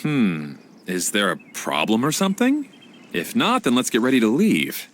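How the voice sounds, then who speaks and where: Animated, laid-back young guy. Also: medium pitch, normal speed, a little hiss or hum. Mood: easygoing. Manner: casual, slangy, easygoing. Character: laid-back, friendly young male rail caretaker. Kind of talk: anime game voice acting.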